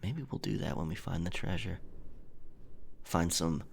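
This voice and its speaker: A man's voice: sits low, animated, a little slow, gravelly, a little hiss or hum, heavily acted. A man playing a roguish, affectionate pirate captain in an intimate, teasing romantic ASMR audio roleplay. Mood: tender, flirtatious.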